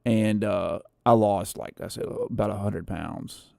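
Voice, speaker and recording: Guy streamer w deep bassy voice, mellow, just rambling casually into a nice studio mic. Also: a little slow, gravelly, no room sound. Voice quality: naturally bassy voice. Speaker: livestream host editing a video. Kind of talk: casual livestream chat while editing. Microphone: studio condenser microphone, close.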